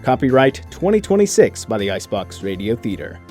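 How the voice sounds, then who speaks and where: A man's voice: mid-range voice, very animated, brisk, a little mellow, soft background music. A man reading scripted closing credits as the announcer of a radio drama.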